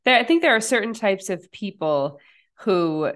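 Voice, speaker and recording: A woman's voice: mid-range voice, expressive, normal speed. A woman hosting a podcast, chatting casually and reflectively with her guest. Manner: warm backchanneling, laughs often, reflective. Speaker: podcast host.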